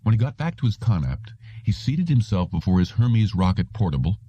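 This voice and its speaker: A man's voice: lowish voice, sing-song, brisk, mellow, slightly rough, dry recording, dull audio. A man narrating a piece of written fiction as a scripted audiobook.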